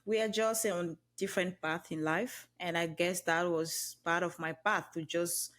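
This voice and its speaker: A Cameroonian woman in her thirties speaks in a low, deep voice. She tells her story earnestly and reflectively, breaking it up with small jokes. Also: steady tone, unhurried, fairly bright tone. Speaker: guest. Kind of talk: podcast interview.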